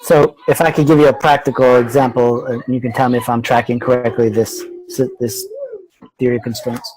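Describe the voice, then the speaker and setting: A man's voice: mid-range voice, steady tone, fairly quick, a little mellow, soft background music, low-quality recording. A man speaking as a student on a video conference, talking through a practical example and checking his understanding. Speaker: student in a class. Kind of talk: tentative worked-example discussion.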